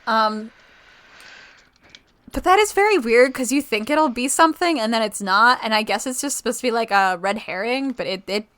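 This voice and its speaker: Bright-voiced woman chatting casually. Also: fairly high pitch, animated, normal speed. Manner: informal, rambling, self-correcting. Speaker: podcast co-host. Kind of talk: casual chat about a TV show.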